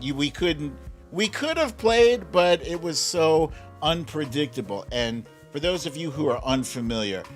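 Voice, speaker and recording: A middle-aged American man hosting a casual livestream, chatting informally and playfully with his viewers. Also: medium pitch. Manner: informal, playful, drawn-out shout-outs to viewers. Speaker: livestream show host.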